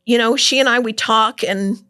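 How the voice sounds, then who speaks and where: A middle-aged woman talking as a guest in a casual interview, loud and animated, laughing often. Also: a little high, moderate pace, clear, resonant tone.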